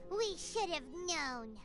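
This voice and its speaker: A woman's voice: very high-pitched, exaggerated voice. A woman voicing a tiny, chirpy fairy companion girl who speaks about herself in the third person, in a video game. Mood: exasperated.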